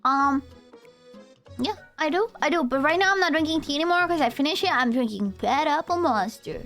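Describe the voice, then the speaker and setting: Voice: high-pitched, animated, brisk, a bit bright, faint music behind. A young adult VTuber streamer with a Romanian accent, chatting with viewers in a goofy, rambling, playful way. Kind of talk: casual live-stream chat.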